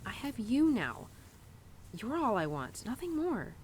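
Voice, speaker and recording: Woman as young cowgirl, independent and conflicted; animated, informal, intimate monologue for audio roleplay, some background noise. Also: on the high side, unhurried. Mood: tender. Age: young adult. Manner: chatty, hesitant, informal, peppered with "like" and "you know". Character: young cowgirl venting to her horse, conflicted and stubbornly independent.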